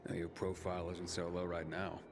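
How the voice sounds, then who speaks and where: A gravelly, wary pilgrim courier. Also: male voice, sits low, completely flat delivery, a little fast. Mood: curious. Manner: wary, blunt, terse. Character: wary male pilgrim courier, tough loner. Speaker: player character, a pilgrim courier. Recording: game cutscene with music bed.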